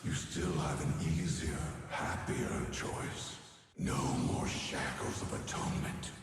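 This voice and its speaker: A man playing a grave, ominous martial master in a video game, speaking in a solemn, formal, deliberate way. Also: extremely low pitch, heavy background noise. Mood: ominous, taunting. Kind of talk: dramatic video game voice acting. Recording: cavernous reverb, music behind. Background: game music.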